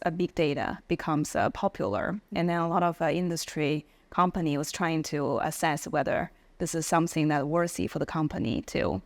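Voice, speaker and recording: A woman in tech leadership answers interview questions, pausing often and filling gaps with frequent ums and uhs. Her voice is notably low, and the recording is dry and close. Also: dry recording. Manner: halting, with many uh and um fillers. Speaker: podcast guest, tech leader. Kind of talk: casual interview chat.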